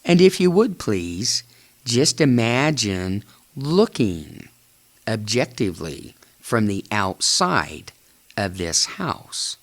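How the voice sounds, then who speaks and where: Very slow low-voiced man guiding meditation. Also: big pitch swings. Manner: measured, halting phrases with long pauses. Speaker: guided imagery narrator. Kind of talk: slow guided meditation narration.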